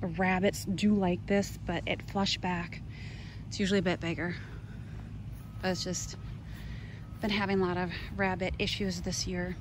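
A woman's voice: lowish voice, little pitch movement, measured pace, pauses often. Chatty woman, noisy outdoor recording. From Minnesota, United States. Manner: chatty, wandering, exclamatory asides. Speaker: gardening vlogger. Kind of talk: casual, unscripted garden tour chat. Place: outdoors in a garden. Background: clear outdoor ambient noise.

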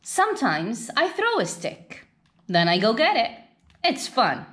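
A woman's voice: on the high side, wildly swooping pitch, unhurried, a bit bright, exaggerated voice. A woman voicing a proud, independent cartoon dog from a picture book, self-satisfied, bossy and affectionate. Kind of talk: children's picture-book read-aloud.

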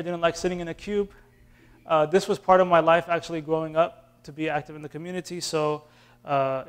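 A man in his thirties from Austin, Texas, an imam answering questions in a relaxed, classroom way with fillers and restarts, filmed by a far camera in a community center room. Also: a little high. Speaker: imam teaching an intro class.

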